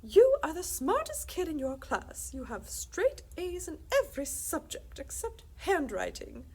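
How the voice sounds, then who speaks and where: A woman's voice: very high-pitched, wildly swooping pitch, a little slow, dark tone, voice pushed up, full character voice. A woman voicing a haughty, pompous Queen of England from a children's storybook. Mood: curt, commanding. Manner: pompous, commanding, formal.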